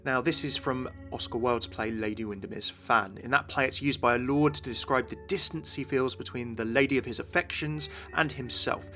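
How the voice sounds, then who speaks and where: A man's voice: medium pitch, normal speed, few pauses, pushed and bright. A man presenting game lore as an enthusiastic scripted video narration. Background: music.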